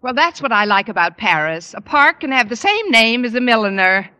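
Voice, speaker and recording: An actress playing a quick-witted, bluffing schoolteacher with wry, theatrical delivery in an old-time radio sitcom. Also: female voice, fairly high pitch, big pitch swings, pushed and bright, clean tone. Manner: wry, theatrical, punchy wisecracks. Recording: noisy old radio recording.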